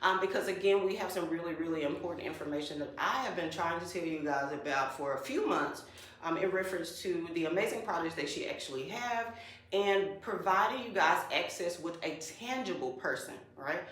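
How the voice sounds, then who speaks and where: A woman's voice: lowish voice, lively intonation, a little fast, a bit bright. Lady hosting a live podcast, super bubbly, lots of echo in the room. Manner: bubbly, upbeat, exclamatory. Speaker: podcast host. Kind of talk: casual live-stream chat. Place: small studio. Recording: very echoey room.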